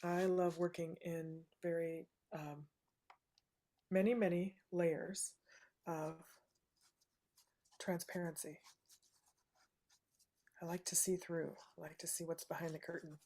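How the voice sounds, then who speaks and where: A soft-spoken woman leads a live painting lesson in a soothing, fairly low voice. She talks gently and unhurriedly, often adding "right?" as she explains what she is doing. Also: level tone, slow pace, pauses often. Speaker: painting instructor.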